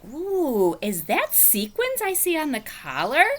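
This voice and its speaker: A spunky kindergarten girl chatters away in a storybook reading, as a woman puts her on. Her lifted, bright voice swoops up and down, eager and bouncy, getting loud whenever she is excited. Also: sits high, takes it slowly, pitched above the performer's own voice, full character voice.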